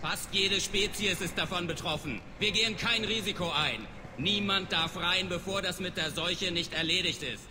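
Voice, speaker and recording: An actor playing a dismissive alien mercenary guard in a video game, curt and contemptuous of humans. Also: male voice, high-pitched, moderate pace, natural voice. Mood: stern. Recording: reverberant game scene with clear background noise.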